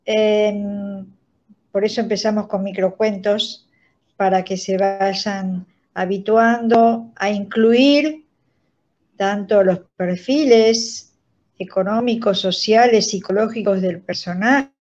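An older Argentine woman speaks as a guest on a video call, with dull, lo-fi sound. She explains in a slow, didactic manner, and her tone is soft-edged and mellow. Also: mid-range voice, fairly even intonation. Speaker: guest, radio theatre director and writing teacher. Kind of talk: explanatory interview talk. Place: indoors, plain room on a video call.

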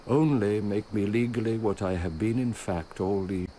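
An actor playing a devout, shrewd old merchant and loyal steward in a radio drama, speaking in an earnest, measured way. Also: male voice, on the low side, dark tone, close to an everyday voice. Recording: radio drama with faint background noise.

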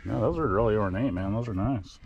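A man hosting a metal detecting video, chatting casually and getting excited over each find in a grassy field. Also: fairly low pitch, a little fast, dull audio, a crowd in the background. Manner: upbeat, easily excited, thinking aloud. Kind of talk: casual narration while digging. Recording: outdoor recording with clear background noise.

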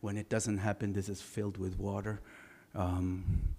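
Baritone man lecturing in a reverberant hall. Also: measured pace. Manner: relaxed, anecdotal, many filler sounds. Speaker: architect giving a lecture. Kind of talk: conversational design lecture.

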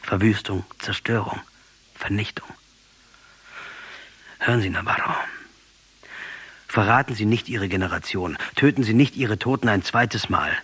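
An actor playing a defiant, passionate, sardonic captured guerrilla commander in a German radio drama, combative and mocking, with a husky voice. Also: male voice, fairly low pitch, a little slow, pauses often, a bit bright, no room sound, full character voice. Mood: accusing, pleading. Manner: combative, mocking, rhetorical, insistent.

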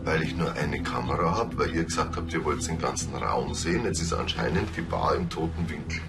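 A man's voice: extremely low pitch, a little fast, over loud music. A man playing a dry, pedantic surveillance technician in a TV crime comedy. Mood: deadpan.

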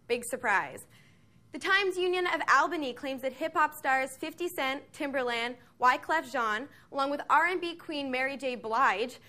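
A woman's voice: sits high, pushed and bright. A young woman in her twenties hosting a sports news show, reading a script with sarcastic, mocking asides from a studio on a lapel mic. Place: television studio set.

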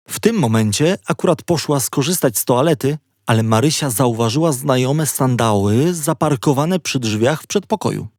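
A man narrates a children's story in a mid-pitched voice. He speaks conversationally, slipping in wry, playful asides to the listener. The recording is dry and free of room sound. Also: darkish tone, dry recording. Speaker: audiobook narrator. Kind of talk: scripted narration of a children's audiobook.

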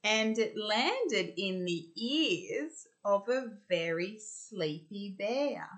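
An Australian woman in her thirties reading a picture book aloud to children in an expressive, sing-song way. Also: medium pitch. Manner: deliberate, sing-song storytelling delivery. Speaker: primary school teacher reading storytime. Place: home kitchen, indoors.

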